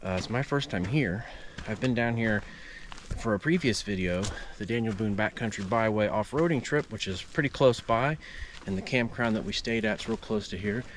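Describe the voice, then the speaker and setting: A man's voice: fairly low pitch, moderate pace, a little hiss or hum. A man narrating a travel vlog in a casual, easygoing, conversational way.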